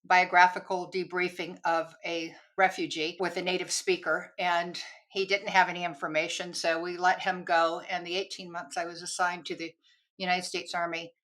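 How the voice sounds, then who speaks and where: An older woman, a retired army counterintelligence agent, telling stories from her career as a guest on a podcast. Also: fairly low pitch. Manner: lively, storytelling, quick to laugh.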